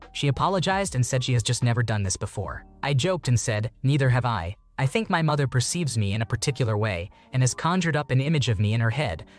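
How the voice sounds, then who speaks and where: A man narrating online stories in a mid-pitched voice that moves along quickly. Music plays softly behind him throughout. His delivery is even and practiced, with short comments between the stories. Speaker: narrator reading online posts. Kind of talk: scripted narration of forum stories with brief comments. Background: background music.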